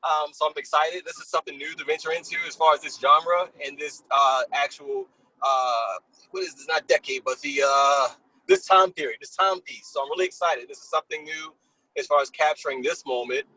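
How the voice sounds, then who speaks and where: A man, a film director, introducing himself casually and informally in a halting way while driving. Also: on the high side, sharp tone, poor audio.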